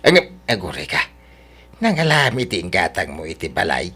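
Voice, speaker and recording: An actor playing a doting, slow-spoken mature man in a Filipino radio drama. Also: low voice, big pitch swings, pushed and bright, husky, exaggerated voice. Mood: surprised, wary.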